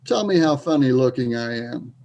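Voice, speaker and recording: A man's voice: mid-range voice, lively intonation, normal speed, creaky, darkish tone. A man playing a drunk, belligerent bodybuilder bully in a readers theater piece, taunting and confrontational. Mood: bitter, taunting. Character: drunk, belligerent, self-loathing bodybuilder bully in a small town. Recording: dull, muffled sound.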